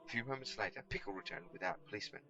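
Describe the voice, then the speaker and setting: Bright, gravelly man narrating a storybook. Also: on the low side, rapid-fire, dull audio. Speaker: audiobook reader.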